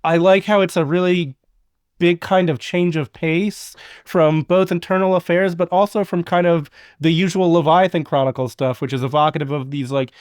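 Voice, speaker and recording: A man's voice: on the high side, steady tone, normal speed, a little mellow, smooth, no echo, clean studio sound. A man co-hosting a podcast, chatting casually about production work. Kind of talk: casual chat.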